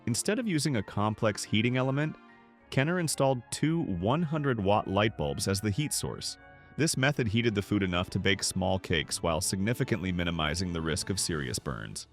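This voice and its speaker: A man's voice: fairly low pitch, expressive, normal speed, soft background music. A man reading scripted nostalgic documentary narration.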